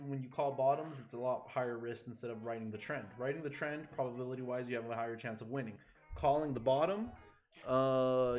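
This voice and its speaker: A man from Chile, a forex trader chatting casually and excitably with his live viewers, swearing freely. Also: medium pitch. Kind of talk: casual livestream commentary. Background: faint music.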